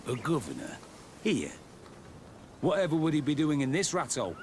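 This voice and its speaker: An actor playing a weary, embittered military captain in a video game cutscene, with a bitter, self-deprecating manner. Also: male voice, a little high, very animated, moderate pace, darkish tone, slight background noise. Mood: wry, surprised.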